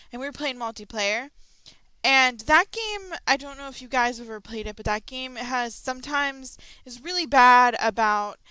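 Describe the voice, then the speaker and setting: A woman's voice: a little high, pushed and bright, clean tone, no echo, low-quality recording. A young woman hosting a casual audio log, chatty and rambling with frequent ums and restarts. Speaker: channel host.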